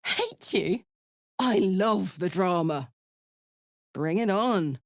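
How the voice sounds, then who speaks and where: An actress playing a brash, warm-hearted warrior woman in a video game, with an earnest, salty, blunt manner. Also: mid-range voice, expressive, extremely slow, dry recording. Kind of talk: video game character voice lines.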